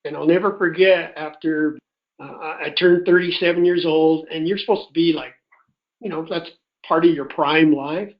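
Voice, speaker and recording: A man's voice: a little high, moderate pace. A man, middle-aged or older, telling a personal story in a folksy, chatty way, acting out remembered dialogue on a conference call. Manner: animated retelling with quoted dialogue. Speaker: host telling his personal health testimony. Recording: dull, muffled conference-call audio.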